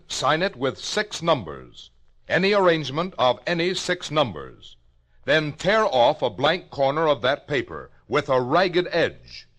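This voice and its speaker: An American man announcing on a 1950s radio broadcast, reading a script in a formal, measured way with deliberate enunciation. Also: a little high, a bit bright.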